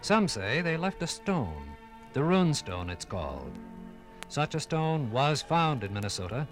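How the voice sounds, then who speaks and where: A man narrating a scripted 1950s radio documentary in a grand, dramatic manner with measured phrasing. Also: mid-range voice, big pitch swings, music in the background.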